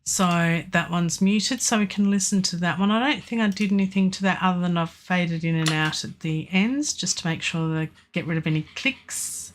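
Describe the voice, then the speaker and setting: A woman's voice: fairly low pitch, moderate pace, hardly any pauses, slight background noise. An Australian woman chatting through a live music-production stream, rambling with many ums and restarts, her voice worn by a lingering cough. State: recovering from a virus, lingering cough and weak voice. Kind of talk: casual live-stream talk about mixing a track.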